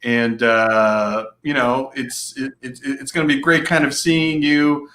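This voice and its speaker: A man with a bright, forward voice moderates a relaxed conversation. His pitch sits in the middle, and he talks informally, wandering through his thoughts with plenty of casual filler phrases. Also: takes it slowly. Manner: informal, meandering, peppered with "you know" and "kind of". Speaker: moderator, host and family physician.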